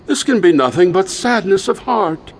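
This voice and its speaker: A measured ancient king. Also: sits high, lively intonation, vocal fry, exaggerated voice. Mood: inquiring. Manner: measured, questioning. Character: ancient Persian king, calm and curious. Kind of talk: dramatized audio Bible reading.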